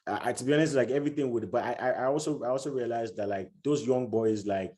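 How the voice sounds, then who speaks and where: A man's voice: mid-range voice, quick pace, warm tone. A man chatting casually about football as a podcast guest, often restarting and repeating words as he thinks aloud.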